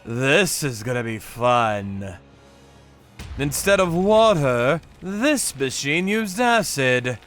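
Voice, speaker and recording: A man voicing a grim, vengeful torturer who narrates his work in a manga dub with deliberate menace. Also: medium pitch, sing-song, a bit bright, faint music behind. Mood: cold menace. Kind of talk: dramatic first-person manga dub narration.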